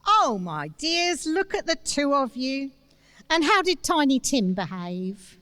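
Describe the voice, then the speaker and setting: Victorian working-class mum woman actor, high and animated, affectionate fusser, dry sound, radio drama. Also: takes it slowly, no echo, exaggerated voice. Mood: fond. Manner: fussing, affectionate. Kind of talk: stage radio play acting. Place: church, live performance.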